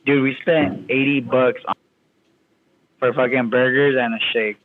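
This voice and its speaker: A man's voice: mid-range voice, fairly even intonation, a little slow. A laid-back young man calls in to a casual podcast chat, swearing freely as he talks. His voice comes through a thin, phone-like line. A faint hiss runs under it. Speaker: podcast guest on a call.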